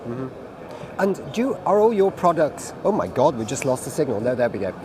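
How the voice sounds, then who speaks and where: A man's voice: medium pitch, big pitch swings, fairly quick, background noise. A British man moderating an online panel over a video call, asking long, chatty questions. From Britain.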